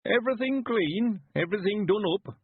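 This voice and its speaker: A man voicing a cheerful, frail 91-year-old man, quavering and slow, rustic and stubborn. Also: high-pitched, expressive, breathy edge, no echo, voice pushed up. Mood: eager. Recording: phone-like lo-fi sound.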